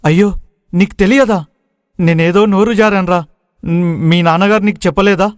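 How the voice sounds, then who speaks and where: A man's voice: sits high, steady tone, normal speed, no echo, clean studio sound, natural voice. A man playing a chatty, blunt young village friend with a familiar manner in a moral story. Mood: regretful.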